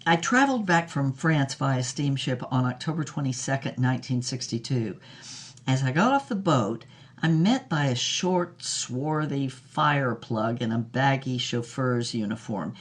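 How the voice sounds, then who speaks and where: An actress playing a worldly old former film star, telling her tales frankly and theatrically in a dramatised interview, with deliberate dramatic pauses. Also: rumbling low, lively intonation, exaggerated voice. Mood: dramatic, confiding. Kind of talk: dramatised interview, storytelling monologue.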